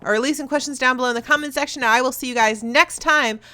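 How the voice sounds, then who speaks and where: A young woman hosting a video, talking loud and fast in casual chat as she answers viewer questions while playing a game. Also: high voice. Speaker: YouTuber host.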